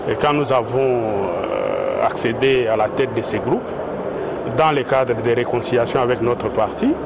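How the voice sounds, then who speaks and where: A man speaking as a party official in a street interview, in a measured way with frequent hesitations. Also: medium pitch. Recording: loud traffic noise outdoors.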